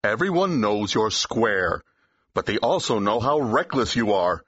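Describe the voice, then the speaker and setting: An actor playing a cautious, exasperated talent agent in an audiobook drama, with an uneasy, reasoning manner. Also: male voice, lowish voice, expressive, a little slow, a bit bright, slightly rough, no room sound, muffled recording, heavily acted. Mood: protesting. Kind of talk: full-cast audiobook acting, period dialogue.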